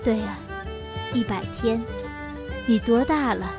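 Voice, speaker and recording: A woman acts as a young, untroubled villager in an audio drama. The voice is fairly high and matter-of-fact, set over clearly audible music. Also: slow. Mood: serene. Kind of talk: radio drama acting. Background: background music.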